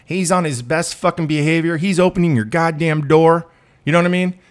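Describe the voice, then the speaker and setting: Middle-aged male commentator, crude and in-your-face, jumps between loud and low. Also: fairly even intonation, a little fast, smooth. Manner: blunt, profane, swings between loud and low. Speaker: dating commentator. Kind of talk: ranting monologue.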